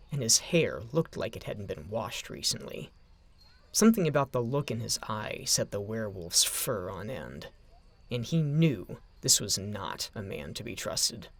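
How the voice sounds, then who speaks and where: A man's voice: medium pitch, creaky. A man narrating a scripted story as an audio drama narrator.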